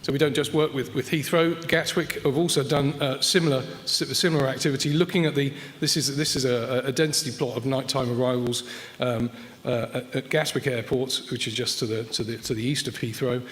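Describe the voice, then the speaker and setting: A man presents at a conference in a mid-pitched voice. He speaks haltingly, often doubling back on words as he explains his slides. The sound carries the long reverb of a large hall. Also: fairly even intonation, a bit bright. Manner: hesitant, repeats and restarts words. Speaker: conference speaker from an air traffic control organisation.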